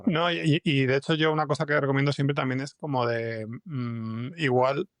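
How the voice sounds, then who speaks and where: A man's voice: mid-range voice, steady tone, normal speed, fairly bright tone, dry recording, clean studio sound. A man with an accent from Spain, chatting informally as an interview guest and thinking aloud as he explains things. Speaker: guest podcast scriptwriter. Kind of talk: casual conversational interview.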